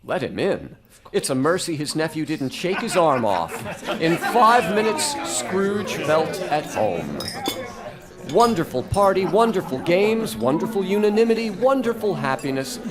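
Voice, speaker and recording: A man's voice: a little high, big pitch swings, a little slow, a crowd in the background. A man giving theatrical, scripted narration in a live radio play. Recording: loud music behind live narration.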